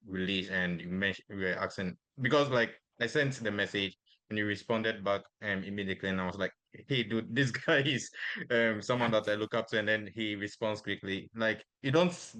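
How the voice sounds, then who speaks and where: A man hosting a podcast in casual interview chat, soft-spoken and asking questions between short agreements. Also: on the low side, dry recording, poor audio. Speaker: podcast host.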